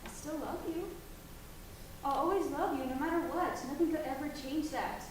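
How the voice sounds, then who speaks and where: Woman doing a worried suspicious mom, high pitched, distant and roomy, noisy background. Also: normal speed, natural voice. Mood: tender. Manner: anxious, questioning, rising to alarm. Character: worried mother, loving but suspicious. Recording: echoey room, distant mic, noisy ambience.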